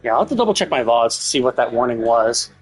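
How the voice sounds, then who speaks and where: A man's voice: mid-range voice, big pitch swings, quick pace, slightly rough, soft background music. A man livestreaming a game with casual commentary, explaining his opinions in a rambling, repetitive, mildly exasperated way. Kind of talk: casual live stream commentary.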